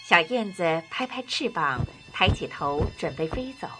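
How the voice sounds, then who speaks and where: A woman narrating a scripted children's story in an expressive, deliberate way. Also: on the low side. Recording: music behind the narration.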